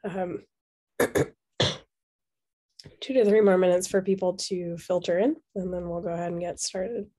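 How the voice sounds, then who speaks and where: A woman's voice: lowish voice, steady tone, unhurried, long pauses, dark tone. A woman hosting a webinar over a video call who is losing her voice, running through housekeeping with many ums. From Seattle. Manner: casual, many filler ums. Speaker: webinar host. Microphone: video call microphone.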